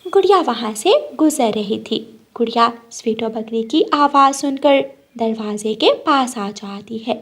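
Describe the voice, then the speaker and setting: High-pitched woman narrating a children's story. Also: a little slow, few pauses, a bit bright.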